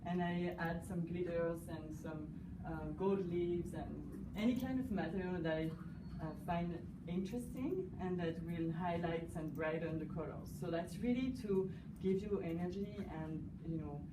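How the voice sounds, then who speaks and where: A woman with a French accent speaks in a lowish voice, pausing often with fillers as she talks through her work. She is recorded from a distance in an echoey space, with clear noise behind her. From France. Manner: hesitant, many fillers, warm laughter. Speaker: guest artist. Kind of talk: casual interview. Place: cafe gallery. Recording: echoey room, distant mic, background noise.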